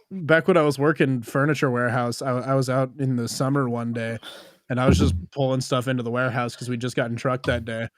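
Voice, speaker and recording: A man's voice: medium pitch, flat delivery, a little fast, dry recording, clean studio sound. A man chatting on a livestreamed podcast, casual and joking, in an anecdotal conversational style. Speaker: podcast panelist.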